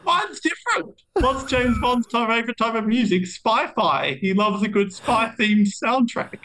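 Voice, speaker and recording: Dude on a podcast cracking jokes, pretty high voice, swings all over. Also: male voice, expressive, a little slow, bright, forceful tone, rough recording. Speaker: podcast co-host. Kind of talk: casual joking banter.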